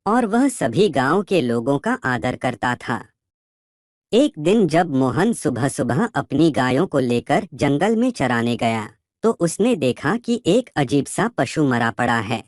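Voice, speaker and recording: Storyteller, children's tale narration, lively intonation, measured slow delivery, dry sound. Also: medium pitch, dry recording.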